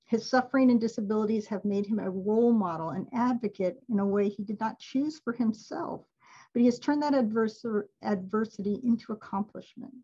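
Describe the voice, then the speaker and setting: A woman's voice: a little high, a little fast, warm tone, breathy edge, lo-fi sound. A woman giving a casual webinar talk on a video call, chatty and laughing often. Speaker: alumni event presenter.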